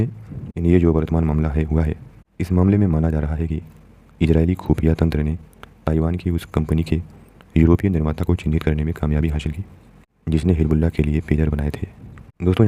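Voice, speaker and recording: A man's voice: very deep voice, warm tone. An Indian man presenting a news explainer, reading out and explaining an editorial in a didactic way, addressing listeners directly.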